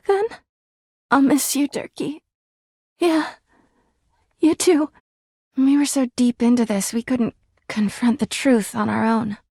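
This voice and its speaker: A woman's voice: sits high, dark tone, dry recording, studio quality. A woman voicing a clingy, jealous, melodramatic girlfriend character in a video game, with a whiny, volatile manner. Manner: dramatic, whiny, emotionally volatile. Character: clingy, jealous, melodramatic female living household object, toxic girlfriend.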